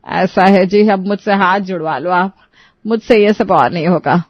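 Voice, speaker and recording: A woman's voice: medium pitch, normal speed, dry recording, highs cut off, close to an everyday voice. A woman voicing an indignant elderly mother-in-law in a cartoon story, scolding sharply and dramatically. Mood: fed up.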